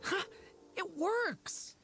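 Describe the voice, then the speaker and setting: A woman voicing an eager, earnest and innocent young boy in a video game, with an expressive, wide-eyed manner. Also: very high voice, very slow, full character voice. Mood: excited. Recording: game music behind.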